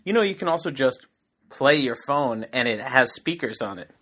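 A man in his thirties co-hosting a comedy podcast. His voice is bright and forceful, with a sharp edge. He pushes back on teasing with incredulous, joking defensiveness. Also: mid-range voice, animated, moderate pace. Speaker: podcast co-host. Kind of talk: casual comedic chat.

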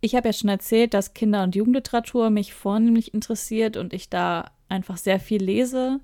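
A woman's voice: mid-range voice, fairly quick, warm tone, no room sound, clean studio sound. A thirty-year-old woman from the Ruhr area, co-hosting a podcast in a chatty, enthusiastic way. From Ruhr area, Germany. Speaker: podcast co-host. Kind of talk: casual podcast chat.

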